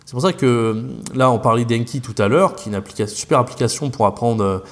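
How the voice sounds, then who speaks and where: A man's voice: mid-range voice, fast talker. A French man, a language teacher, talking casually as a podcast guest, rambling with many fillers and restarts.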